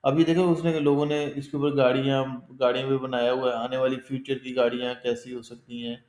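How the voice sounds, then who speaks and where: Guy teaching a class online, chatty, keeps checking everyone follows, mid pitch, echoey room. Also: little pitch movement, brisk, a little mellow. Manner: conversational, explains step by step with frequent check-ins and fillers. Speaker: instructor. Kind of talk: live online class with screen sharing.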